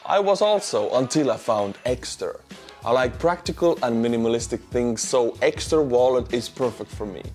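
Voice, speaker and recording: A male online host with an Estonian accent and a medium-pitched voice. He talks informally, as in casual news commentary, addressing the listener conversationally. His delivery carries a wry edge. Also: a little mellow. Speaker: YouTube war-news host.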